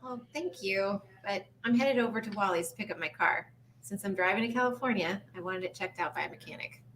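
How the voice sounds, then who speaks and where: A woman's voice: medium pitch, a little fast, a bit bright, close to an everyday voice. A woman playing a friendly, slightly unsure small-town woman in a sitcom table read, in a plain, understated way. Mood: matter-of-fact.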